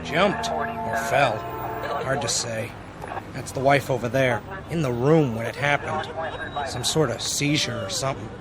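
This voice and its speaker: An actor playing a blunt, callous sheriff in a radio drama, clipped and deadpan. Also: male voice, mid-range voice, measured pace, over loud music, natural voice.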